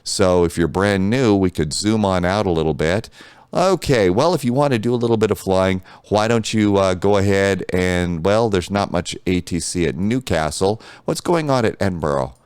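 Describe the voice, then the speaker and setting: A deep, smooth male voice with an American accent hosts a casual tutorial. He talks off the cuff in a folksy, reassuring manner, as if to newcomers. The sound is clean and dry, with very little room in it. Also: lively intonation, normal speed, darkish tone, dry recording. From United States. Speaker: flight simulator tutorial host.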